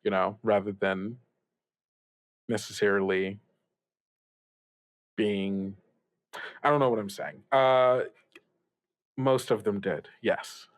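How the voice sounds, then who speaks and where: A man playing a reassuring older radio mentor in an improvised audio drama, giving gentle advice in a halting, rambling way. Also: on the low side, fairly even intonation, no echo, studio quality. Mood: halting reassurance. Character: reassuring older male radio mentor, upbeat, evasive about troubles. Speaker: student radio show host. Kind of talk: improvised actual-play audio drama, conversational.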